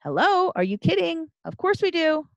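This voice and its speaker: A woman's voice: a little high, very animated, brisk, no room sound, highs cut off. A woman voicing an earnest, opinionated seventh-grade girl in an audiobook, speaking emphatically and righteously. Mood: insistent. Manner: righteous, emphatic, formal wording. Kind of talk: audiobook character dialogue.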